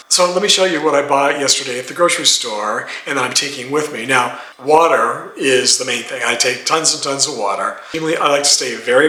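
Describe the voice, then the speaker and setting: A man's voice: mid-range voice, sharp tone, echoey room, low-quality recording. Stuffy-nosed older man chatting. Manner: chatty, rambling, self-correcting. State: congested, stuffy-sounding. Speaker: vlogger. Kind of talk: casual talking-head vlog. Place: home in a desert town.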